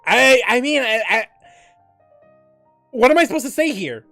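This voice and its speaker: A woman gives casual gameplay commentary with big swings in pitch. She is reactive and swears freely, on a dry close recording with game music behind her. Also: animated, normal speed, fairly bright tone, no room sound. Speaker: gaming streamer.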